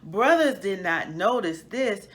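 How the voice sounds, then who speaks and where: A woman reading a children's book aloud as host, in an expressive, emphatic storytelling style. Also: medium pitch, takes it slowly.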